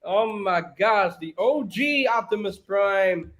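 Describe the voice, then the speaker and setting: A man's voice: high voice, lively intonation, takes it slowly. A man hosting a casual livestream discussion over a dull, noisy microphone. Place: online livestream call. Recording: dull lo-fi mic with clear noise.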